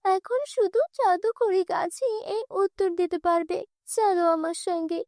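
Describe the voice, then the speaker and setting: A woman's voice: extremely high pitch, measured pace, dark tone, dry recording, clean studio sound, pitched above the performer's own voice. A woman voicing a kind, wronged girl in a cartoon fairy tale, earnest and pleading in manner. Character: kind, forgiving wronged girl, gentle stepdaughter.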